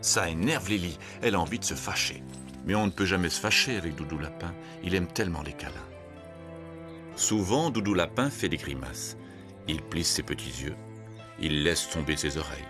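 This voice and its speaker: A man narrating a scripted children's story in an expressive, storytelling way. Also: low-pitched, normal speed, music in the background.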